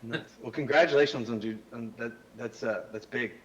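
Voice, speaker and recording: A man chatting as a guest, enthusiastic and relaxed, with slangy speech. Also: mid-range voice, a little fast, low-quality recording. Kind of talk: casual chat.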